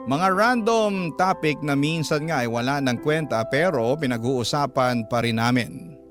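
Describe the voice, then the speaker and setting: Casting: male reader as confiding young woman in love, Filipino accent, measured radio-drama storytelling over a loud music bed. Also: a little high. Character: emotional young woman confessing her love story in a letter. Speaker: radio host reading a listener's letter.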